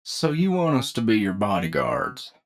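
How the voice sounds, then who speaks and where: A man playing a shrewd, stern bounty hunter leader in an anime audio drama, deliberate, probing and a little folksy. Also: medium pitch, very animated, close to an everyday voice. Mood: skeptical.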